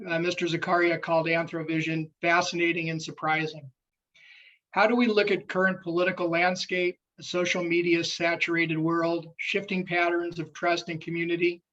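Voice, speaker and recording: A man with a somewhat high voice reads a formal introduction in a very flat, steady way. The sound is dull and lo-fi, as on a video call. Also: moderate pace. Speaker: club member introducing the speaker. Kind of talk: reading a scripted introduction. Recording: dull lo-fi audio.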